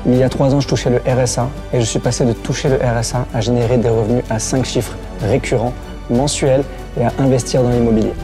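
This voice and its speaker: A man's voice: medium pitch, fairly even intonation, fast talker, over music. A man in his thirties delivering a promotional testimonial as an entrepreneur. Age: 30s.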